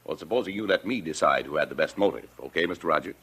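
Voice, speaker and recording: An actor playing a tough, businesslike homicide inspector with clipped official questioning in 1940s radio crime drama. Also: male voice, extremely low pitch, animated, fast talker, a bit bright, husky, exaggerated voice. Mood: probing.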